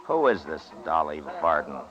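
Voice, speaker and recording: An actor playing a steady, watchful Old West marshal, laconic and American, in 1950s radio western style. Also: male voice, a bass voice, moderate pace, a crowd in the background. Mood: curious, firm. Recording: dull, noisy vintage radio recording.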